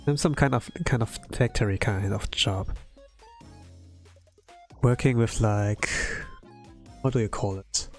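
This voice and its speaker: A man from Europe, a non-native English speaker, chatting casually on a livestream in a hesitant, halting way with lots of fillers, sounding tired. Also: fairly low pitch. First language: not English. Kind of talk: casual rambling livestream chat. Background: background music.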